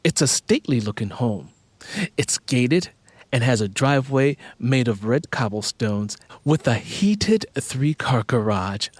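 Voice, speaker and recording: A man's voice: medium pitch, no room sound. A man voicing an enthusiastic wife who coaxes and persuades in audiobook dialogue. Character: adult wife, eager, persuasive, house-proud real estate agent.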